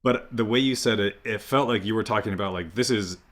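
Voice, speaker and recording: Guy with kinda low voice asking questions, super casual, loose tentative phrasing. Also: fairly quick, fairly bright tone. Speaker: interviewer asking audience questions. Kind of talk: casual chat, informal questions.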